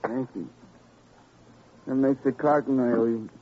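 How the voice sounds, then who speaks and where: An actor playing a weary, anguished police doctor with a husky, halting, mournful delivery in an old-time radio crime drama. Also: male voice, medium pitch, fairly even intonation, slight background noise, close to an everyday voice. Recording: thin phone-like vintage radio recording.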